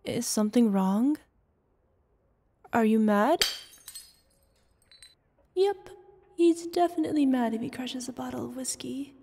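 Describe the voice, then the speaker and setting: A woman acting in an ASMR roleplay as a devoted, playful older girlfriend, with a soft, tender, teasing manner. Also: high voice, expressive, a little slow, long pauses, slightly rough, heavily acted. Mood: concerned, tender. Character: devoted, playful, smitten older girlfriend soothing her lover.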